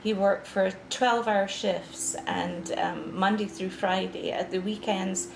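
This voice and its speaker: A woman in her sixties from Scotland with an Edinburgh accent, speaking as a guest on a podcast interview, slow and reflective with frequent restarts. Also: mid-range voice, steady tone, creaky, reverberant, slight background noise, low-quality recording. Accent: Scottish, Edinburgh. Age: 60s. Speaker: guest author.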